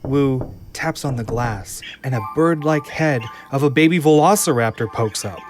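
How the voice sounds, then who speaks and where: A man's voice: mid-range voice, normal speed. A man reading scripted screenplay narration for an audio drama. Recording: music under the voice.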